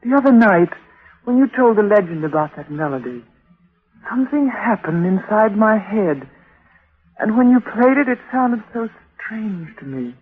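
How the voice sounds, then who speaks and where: A woman's voice: medium pitch, very animated, moderate pace, close to an everyday voice. An actress playing a haunted, frightened young woman in anguished, breathless 1940s radio drama style. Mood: anxious. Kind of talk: 1940s radio drama acting, with first-person narration. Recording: tinny band-limited old radio recording, music.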